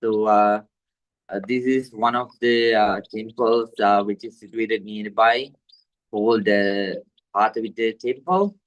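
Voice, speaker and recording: A man with a Sri Lankan accent, a Buddhist monk teaching meditation to an online group in a halting, deliberate way. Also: lowish voice, poor audio. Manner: halting, deliberate, repetitive phrasing. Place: village temple in Sri Lanka, over video call.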